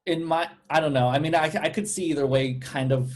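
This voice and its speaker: A man's voice: fairly high pitch, fairly even intonation, fairly quick, darkish tone. A man giving script feedback as a cast member, thinking aloud in a casual, hesitant but opinionated way. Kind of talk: casual group discussion.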